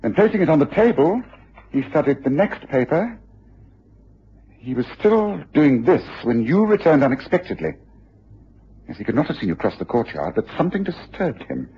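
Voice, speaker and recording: A man's voice: fairly high pitch, very animated, moderate pace, slight background noise. An actor playing an observant male detective in a radio drama, thinking aloud with musing hesitations as he examines and probes. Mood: absorbed, musing. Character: brisk, observant male detective, absorbed and probing. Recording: muffled radio drama recording.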